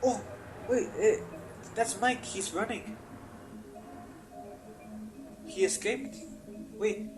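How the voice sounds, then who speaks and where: A man giving casual reaction commentary in a halting, slangy way, full of fillers. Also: sits high, rough recording. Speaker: reaction video host. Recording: loud music behind voice.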